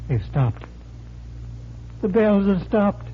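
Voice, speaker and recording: A man's voice: sits high, big pitch swings, slow pace, a little hiss or hum, heavily acted. An actor playing a tormented bank teller afraid for his sanity, distraught and faltering, in 1940s radio style. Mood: tormented, agitated. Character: tormented, desperate male bank teller fearing madness. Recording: thin, lo-fi 1940s radio recording.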